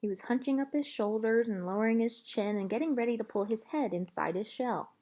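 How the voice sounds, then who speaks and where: A woman reading a children's novel aloud as an expressive storyteller. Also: on the high side, fairly quick. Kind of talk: read-aloud storytelling with voiced dialogue.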